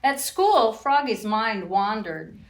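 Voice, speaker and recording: A woman reads to young children in an expressive, unhurried storytime style. She speaks directly to her little listeners as a librarian host would. The recording is roomy and echoing, with her voice some way from the mic. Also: fairly high pitch. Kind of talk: children's storytime read-aloud with sing-song rhymes. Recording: roomy echo, distant from the mic.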